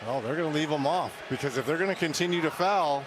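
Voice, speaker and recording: Slightly high voiced guy doing color commentary on basketball, opinionated, really noisy arena. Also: fast talker. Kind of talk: live basketball analysis. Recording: loud arena noise. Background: loud basketball game noise.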